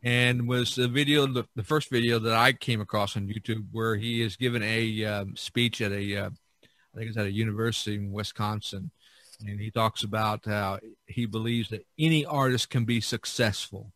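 A man hosting a podcast in a conversational, halting, reflective way. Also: lowish voice, steady tone, fairly bright tone. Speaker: podcast host.

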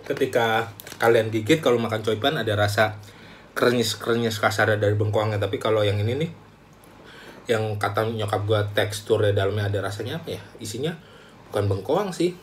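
A young man, chatting casually to camera in a small room as a food vlogger, animated and talkative. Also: on the low side, brisk, slight background noise. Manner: chatty, animated, calls himself by name. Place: small room indoors, plain wall.

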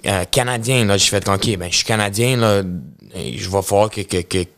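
A man in his thirties with a Quebec French accent, a podcast guest telling his story in an animated way, with many restarts and English words mixed in. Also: fairly low pitch, moderate pace, no room sound. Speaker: podcast guest, professional hockey player. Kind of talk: casual storytelling interview. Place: indoor podcast studio with bookshelves. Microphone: studio podcast mic on boom arm.

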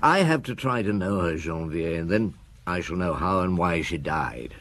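A British actor playing a courteous, pensive middle-aged French chief inspector in a radio drama. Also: male voice, sits low, expressive, normal speed, fairly bright tone. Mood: pensive, troubled.

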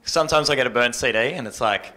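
A man's voice: medium pitch, fast, a loud crowd. An Australian man in his thirties speaking casually on a panel into a handheld mic. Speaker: radio presenter on a panel. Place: indoor workshop panel before a small audience. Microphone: handheld microphone.

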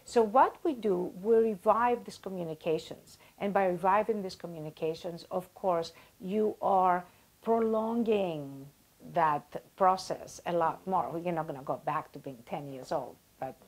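A woman in her sixties explaining as a guest expert in an interview, animated and gesturing, using everyday comparisons, on a lapel mic in a small office. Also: on the low side, unhurried, poor audio. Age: 60s.

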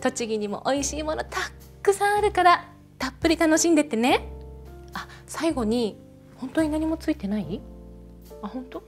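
A woman's voice: sits high, lively intonation, normal speed, faint music behind. A woman playing a chatty snack-bar hostess, gushing and talking in a feminine way to an unseen customer. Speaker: bar hostess in a role-play. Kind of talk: one-sided role-play with an unseen customer.

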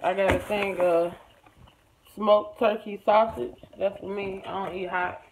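Casting: American woman, everyday vlogger, folksy informal chat, medium pitch, clearly noisy handheld recording. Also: fairly even intonation, measured pace. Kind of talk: casual chat to camera, showing purchases. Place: room at home, indoors. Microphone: phone or camera at arm's length.

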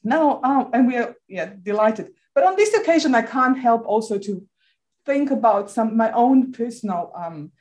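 A middle-aged woman from Croatia with a Croatian accent, giving earnest, measured closing remarks in a university lecture room, recorded by a distant camera. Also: on the high side, expressive, a little mellow. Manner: earnest, measured, some hesitations. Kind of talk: formal closing address. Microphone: far camera, standing at lectern.

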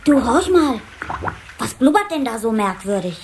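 A woman's voice: a little high, sing-song, moderate pace. A woman playing an eager, cheeky little water-sprite boy in a children's audio play. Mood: curious. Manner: eager, playful. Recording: noisy cassette, splashing water.

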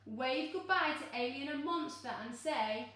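A woman reads a children's storybook aloud in a very echoey room, some distance from the microphone. She is expressive and full of exclamations, coaxing young listeners along. Her voice sits fairly high. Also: unhurried. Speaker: teacher reading a picture book to children. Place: living room, seated on a sofa. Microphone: camera a few metres away.

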